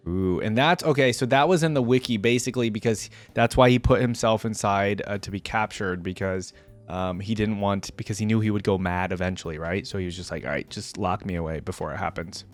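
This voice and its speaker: A man's voice: fairly low pitch, fairly quick, faint music behind. A man hosting a reaction video, commenting casually and appreciatively in an informal, musing way.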